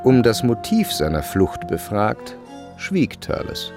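An actor as the wry literary narrator of a radio play, delivering scripted narration. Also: male voice, on the low side, huge pitch swings, slow pace, music in the background, heavily acted. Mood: wry, narrating.

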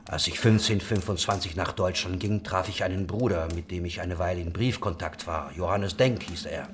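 A man's voice: deep voice, fairly quick, a little hiss or hum, natural voice. An actor playing a worldly, idealistic craftsman who leads a religious commune, musing and talkative, in a German historical radio drama. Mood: reflective.

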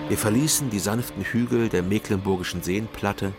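An actor playing a sober male private detective, narrating his case in a measured, matter-of-fact way for a radio crime drama. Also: fairly low pitch, close to an everyday voice. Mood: sober, descriptive. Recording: music under the narration. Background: music.

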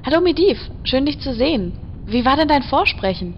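A woman's voice: high voice, big pitch swings, fairly quick, dull audio, a little hiss or hum. A woman playing a polite, kindly, sincere adventurer in a parody radio play, courteous and attentive. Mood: friendly.

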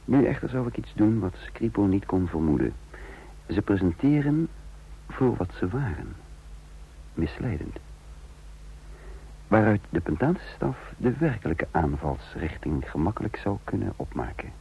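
An actor playing a shrewd, calculating undercover intelligence officer in a radio drama, speaking in a measured, formal, husky voice. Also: male voice, deep voice, sing-song, exaggerated voice. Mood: reflective, calculating. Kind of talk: radio drama acting and narration. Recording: dull lo-fi old radio recording.

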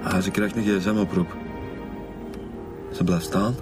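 A man's voice: low voice, steady tone, measured pace. An actor with a Flemish accent playing an informal, wry male police inspector in a radio drama. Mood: watchful. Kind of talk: naturalistic radio drama dialogue. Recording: music behind the dialogue.

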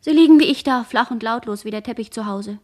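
A woman's voice: fairly high pitch, quick pace, darkish tone, close to an everyday voice. A woman playing a barely conscious shipwrecked girl in a radio play, speaking in dreamy, fearful, fragmentary poetic phrases. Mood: dreamy, drifting. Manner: fragmentary, incantatory, drifting phrases. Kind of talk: radio play monologue, poetic disjointed speech.

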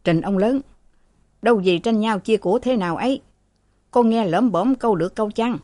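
A woman's voice: medium pitch, moderate pace, mellow, no echo, close to an everyday voice. A woman reading aloud, voicing a humble, fawning old manservant. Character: timid old male servant, deferential.

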